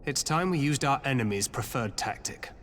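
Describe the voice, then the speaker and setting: An actor playing a measured, calculating strategist at a war council in a video game. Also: male voice, mid-range voice, animated, a bit bright, slight background noise, natural voice. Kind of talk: video game cutscene acting.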